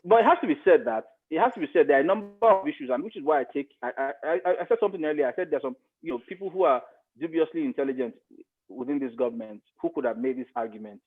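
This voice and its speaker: A man's voice: a little high, rapid-fire, slightly rough. A middle-aged man with a Nigerian accent giving conversational commentary as an interview guest, emphatic and repeating phrases to press his point. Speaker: interview guest, media expert. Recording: phone-like thin radio-style sound.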